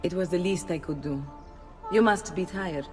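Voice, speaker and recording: An actress playing a gracious, composed madam in a video game, courteous, with an Italian accent. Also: female voice, fairly low pitch, normal speed, over music, natural voice. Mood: gracious, concerned. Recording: long hall reverb.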